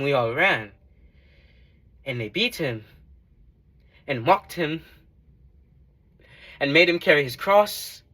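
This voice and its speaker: A man playing a devout former tax collector in a dramatic monologue, giving earnest, measured testimony. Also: fairly high pitch, pushed and bright, full character voice, rough recording. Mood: sorrowful. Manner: earnest, measured, emphatic storytelling.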